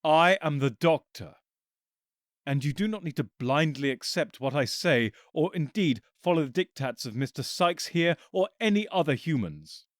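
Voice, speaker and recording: A man's voice: on the high side, expressive, moderate pace, dry recording, clean studio sound, voice pushed up, natural voice. A man voicing an eccentric male Time Lord hero, earnest and emphatic, with a touch of impatience.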